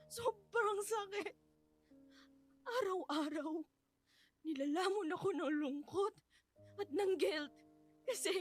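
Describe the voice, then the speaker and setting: High-pitched, tearful grieving Filipino widow. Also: female voice. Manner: tearful, pleading delivery with repeated broken phrases. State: crying. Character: grief-stricken young widow, anguished, guilt-ridden, despairing. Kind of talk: radio drama acting, heavily emotional. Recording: studio voice over prominent dramatic music.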